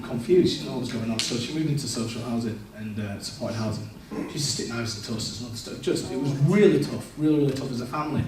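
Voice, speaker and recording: A man's voice: mid-range voice, quick pace, few pauses, crowd noise. A young man giving a candid, self-deprecating personal testimony in a church, with frequent restarts. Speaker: church speaker. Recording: echoey church hall, mic far off.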